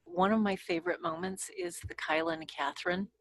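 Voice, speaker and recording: Creaky-voiced woman in casual chat. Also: sits high, sing-song, a little fast, fairly bright tone. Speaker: podcast panelist.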